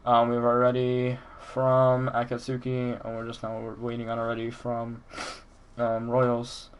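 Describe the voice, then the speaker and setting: A young man hosting an online esports match with a stuffy voice from a sinus infection, pausing on frequent "um"s. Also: medium pitch, completely flat delivery, clean tone. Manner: hesitant, many "um"s. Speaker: tournament organizer and host.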